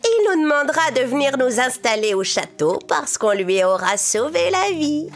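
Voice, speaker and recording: A woman's voice: high-pitched, sing-song, moderate pace, faint music behind, voice pushed up. A woman voicing a greedy, gloating wicked stepsister in a children's cartoon, drawling theatrically.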